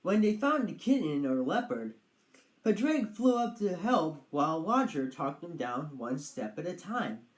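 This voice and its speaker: A storybook narrator reading a scripted children's tale in an animated style. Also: mid-range voice, a little slow, echoey room, poor audio.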